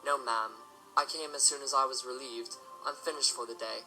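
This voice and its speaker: A man's voice: medium pitch, steady tone, fairly quick, bright, forceful tone, soft background music, rough recording. A man playing a dutiful, earnest military stallion in an audio drama, with a formal, precise way of speaking.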